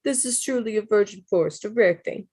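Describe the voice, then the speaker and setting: A woman's voice: mid-range voice, brisk, dark tone, husky, pitched above the performer's own voice, lightly acted. A woman doing a chatty Brooklyn man. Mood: friendly. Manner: chatty and explaining, with playful laughs breaking through. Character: fit, friendly man in his mid-forties, forest researcher. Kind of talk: reading a novel aloud to friends.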